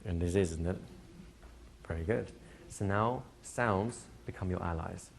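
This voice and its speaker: A man teaching a hypnotherapy class to a live group, explaining plainly and slipping into slow, soothing hypnotic patter for demonstrations. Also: deep voice, creaky, a little hiss or hum. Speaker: hypnosis trainer. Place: training room with a live audience.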